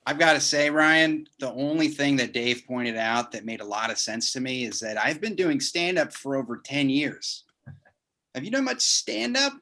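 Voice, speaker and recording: A man's voice: mid-range voice, moderate pace, bright, forceful tone. A man playing a falsely friendly rival comedian in his thirties, chummy and needling, in a comedy table read. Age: 30s.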